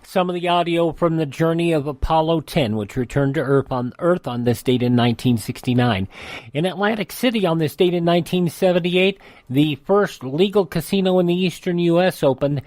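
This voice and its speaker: A man's voice: fairly high pitch, normal speed, darkish tone, dry recording. An American man hosting radio, reading a scripted rundown of events and dates in history. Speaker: radio host.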